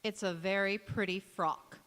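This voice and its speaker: Woman acting sharp, sour cousin in echoey hall. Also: mid-range voice, steady tone, unhurried, natural voice. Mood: scornful. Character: sour, bitter, disapproving older woman cousin. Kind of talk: radio play acting on stage. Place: large hall, live stage performance. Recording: echoey hall with long reverb.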